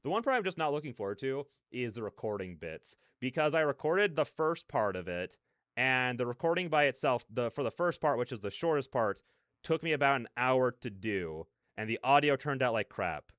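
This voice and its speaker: A man with a smooth, clear voice talks casually to his livestream. He rambles in a scattered, self-interrupting way and drops in playful nonsense sounds as filler. The sound is dry and close. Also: medium pitch, sing-song, moderate pace, a bit bright, no echo. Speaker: livestreamer, host. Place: large, fairly empty room with soundproofing panels.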